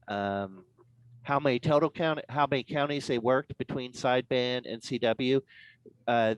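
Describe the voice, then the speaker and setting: A man giving an informal, explanatory presentation as a guest speaker on an online call, in a halting way. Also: medium pitch, smooth, dry recording, low-quality recording. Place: online club meeting.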